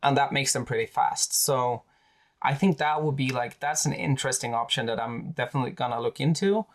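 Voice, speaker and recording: A man with a Swedish accent, a native Swedish speaker, talking casually through tabletop army lists with many pauses and fillers. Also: mid-range voice. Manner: hesitant, many fillers and restarts. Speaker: tabletop wargaming creator.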